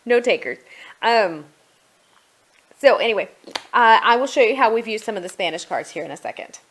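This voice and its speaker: A woman's voice: fairly high pitch, expressive, moderate pace. A middle-aged woman talking casually and explaining things as she goes, like a parent showing products at home in a review. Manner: conversational, explanatory.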